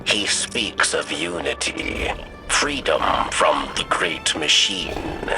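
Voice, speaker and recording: An actor playing a wry, philosophical alien spymaster in a video game, speaking in measured, cryptic phrases. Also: male voice, extremely low pitch, big pitch swings, gravelly, over music, full character voice. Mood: wry, musing. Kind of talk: video game mission dialogue.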